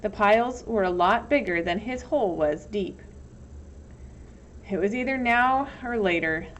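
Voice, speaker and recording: A woman's voice: medium pitch, a little slow. A woman reading a children's novel aloud as storybook narration. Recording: dull, lo-fi with some background noise.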